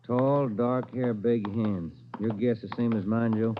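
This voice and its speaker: An actor playing a plain-spoken police detective in a vintage radio crime drama, terse and businesslike. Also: male voice, mid-range voice, fairly even intonation, a little slow, a little hiss or hum, natural voice. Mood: questioning. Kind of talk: 1940s-50s radio crime drama acting. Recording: dull vintage radio recording.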